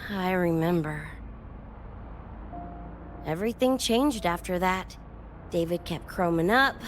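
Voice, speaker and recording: A woman's voice: lowish voice, expressive, slow pace, slight background noise. A woman voicing a brash, cocky young mercenary with slangy, streetwise swagger in a video game. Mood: somber, reflective. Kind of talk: animated video game voice acting. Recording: music under the voice.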